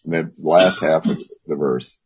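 Hoarse low-voiced man teaching. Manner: rambling, with many pauses. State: hoarse, losing his voice. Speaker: Bible class teacher. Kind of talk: casual Bible class teaching. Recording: dull lo-fi audio.

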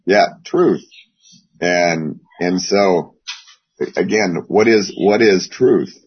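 Hoarse man, Bible class teacher, very low husky voice, rambling delivery, dull lo-fi call audio. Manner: rambling, with many pauses. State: hoarse, losing his voice.

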